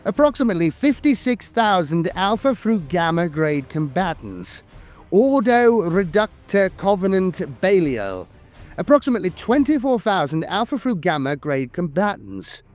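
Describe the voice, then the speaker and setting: Expressive higher-pitched man narrating dramatic lore. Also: moderate pace. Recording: music bed behind a dry voice. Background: music.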